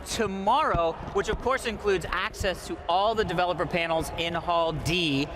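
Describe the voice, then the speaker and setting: High-voiced excited male event host. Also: normal speed. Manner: jokey, casual, excited. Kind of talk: upbeat unscripted live hosting. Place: live set in a convention center. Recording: noisy convention hall background.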